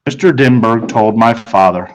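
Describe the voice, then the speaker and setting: A man's voice: fairly low pitch, normal speed, a little hiss or hum, poor audio. Man reading a storybook aloud. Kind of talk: read-aloud storybook narration.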